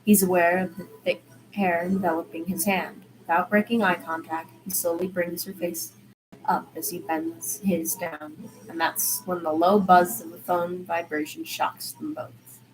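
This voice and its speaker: A woman's voice: on the low side, steady tone, unhurried, slight background noise, rough recording. A woman reading a story aloud in audiobook style, occasionally stumbling and restarting her phrases. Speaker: fan fiction reader.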